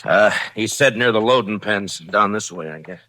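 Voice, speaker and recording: An American man in a vintage radio western, playing a steady, conscientious frontier marshal with a plainspoken, halting, grave manner. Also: fairly low pitch. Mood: businesslike. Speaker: radio actor. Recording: vintage radio recording, dry studio sound.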